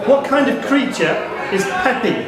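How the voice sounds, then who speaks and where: Male quiz host, high voice, live question reading, off-the-cuff banter, heavy room echo, noisy pub crowd. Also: animated, normal speed.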